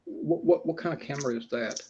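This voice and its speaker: A man's voice: on the high side, brisk, dark tone, breathy edge. A man chatting casually with fellow paranormal investigators, asking short, hesitant questions. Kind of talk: casual group chat. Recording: muffled, noisy line.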